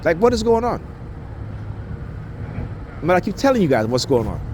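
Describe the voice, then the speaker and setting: A man's voice: sits high, very animated, moderate pace. Man in his fifties, emphatic street talk. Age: 50s. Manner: emphatic, repeats "right?" and stumbles over words. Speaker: street interviewer. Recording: loud traffic and street noise.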